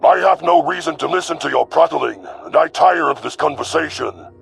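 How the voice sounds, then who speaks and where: A man voicing a cruel, arrogant young alien predator commander in an audio story, with a growling, gravelly voice and haughty, formal menace. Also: fairly high pitch, lively intonation, moderate pace, a bit bright, faint music behind, voice pushed up, exaggerated voice. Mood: disdainful.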